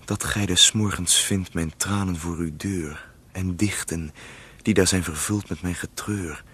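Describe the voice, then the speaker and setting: A heartsick young poet. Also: male voice, fairly even intonation, a bit bright, slightly rough, heavily acted. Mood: mournful. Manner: halting, defensive, slow and low. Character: brooding heartsick young male poet, touchy. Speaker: radio drama actor. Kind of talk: 1970s radio play acting. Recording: radio play with music.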